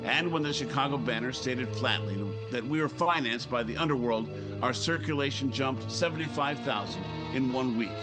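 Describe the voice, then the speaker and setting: A brisk managing editor played by a man from an old radio crime drama. His medium-pitched voice is authoritative and dry, made for giving orders and telling the tale, with music in the production. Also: close to an everyday voice. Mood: dry, narrating. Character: brisk, commanding male newspaper managing editor. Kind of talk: 1950s radio drama acting with first-person narration.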